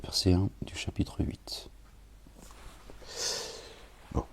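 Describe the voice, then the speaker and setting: A man who talks casually with wry, grumbling asides to his listeners, then reads scripture slowly and solemnly. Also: whispered. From France. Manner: slow, measured recitation with Arabic invocations. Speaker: preacher reading scripture.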